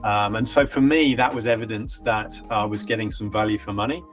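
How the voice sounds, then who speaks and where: A man with a British accent softened by years in New Zealand, giving a client testimonial in a reflective, hesitant way, with frequent ums and restarts. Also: fairly low pitch, fairly even intonation, a little fast, soft background music. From Britain. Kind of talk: conversational testimonial interview.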